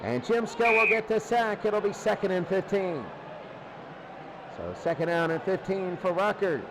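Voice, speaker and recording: A man calling football play-by-play live in an excitable, exclamatory way, often repeating phrases. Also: high-pitched, moderate pace, noisy background. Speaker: play-by-play sports commentator. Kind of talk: live American football commentary.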